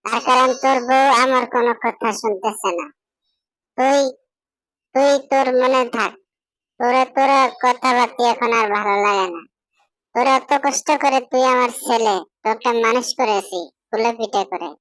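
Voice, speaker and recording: A woman's voice: on the high side, moderate pace, a bit bright, dry recording, lightly acted, poor audio. A woman voicing an aggrieved, complaining widowed mother of a grown son in a cartoon. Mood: scolding, fed up. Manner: complaining, pleading.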